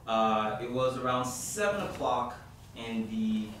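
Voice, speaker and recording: A man from Haiti, a youth minister, praying and then telling a story to a group in a halting, informal way with many fillers. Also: mid-range voice, expressive, a bit bright. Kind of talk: opening prayer and talk to a group. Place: hall at a university centre. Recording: echoey room from far off, background noise.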